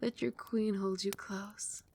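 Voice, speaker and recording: A doting vampire queen, played by a woman, murmurs in an ASMR roleplay. Her voice is soft and breathy and often slips into a whisper. She is soothing and possessive, regal yet tender, with a mid-pitched register. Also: level tone, slow pace, a little hiss or hum. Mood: soothing, protective.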